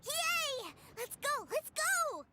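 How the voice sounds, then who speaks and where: A woman's voice: very high voice, very animated, drawn-out words, exaggerated voice. A woman voicing an innocent, eager little boy in a video game, naive and earnest, who looks up to his big brother. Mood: delighted. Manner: naive, earnest, wide-eyed.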